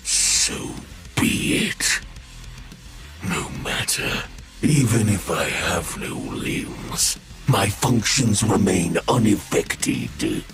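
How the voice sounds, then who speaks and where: Game villain, menacing machine creature: very slow, gravelly, wildly swooping delivery, music underneath. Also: male voice, low voice, big pitch swings, fairly bright tone, heavily acted. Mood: cold, theatrical. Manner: slow, drawn-out, gloating, theatrical delivery. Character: sadistic male monster machine, menacing, theatrical, relishes pain. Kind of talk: dramatic video game voice acting.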